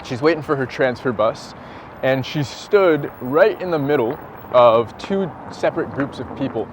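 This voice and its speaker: A man's voice: mid-range voice, expressive, moderate pace. A man in his twenties with an American accent, chatting casually and presenting as a dating coach. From San Francisco, United States. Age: 20s. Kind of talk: casual street chat and talk to camera. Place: outdoors on a busy London street. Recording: noisy city street, traffic behind.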